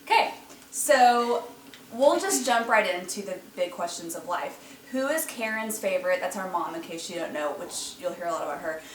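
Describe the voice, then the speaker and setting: A woman hosts a relaxed sibling Q&A, laughing between her questions. She sits back from the microphone in an echoey room with a faint hiss underneath. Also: fairly low pitch, normal speed. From Texas. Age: 30s. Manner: laughs often, chatty and giggly. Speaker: host. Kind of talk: casual sibling Q&A chat. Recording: echoey room, mic at a distance, faint noise.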